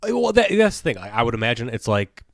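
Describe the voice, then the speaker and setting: A man's voice: medium pitch, sing-song, quick pace, gravelly, no echo, studio quality. A man co-hosting a podcast in crude casual banter, profane and joking, often trailing off mid-sentence. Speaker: podcast co-host.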